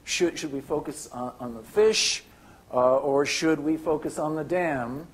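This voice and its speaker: An older man giving a keynote lecture with slides, halting, with many restarts and fillers. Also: a little high, slightly rough. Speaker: keynote speaker. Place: lecture hall.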